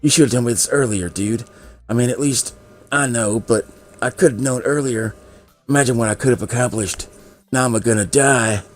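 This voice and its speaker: A man's voice: medium pitch, normal speed, soft background music, voice pushed up, close to an everyday voice. A man voicing a paranoid young male student, a panicky conspiracy nut who rants and repeats himself in a visual novel reading. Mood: panicked despair. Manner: alarmed, ranting, repeats himself.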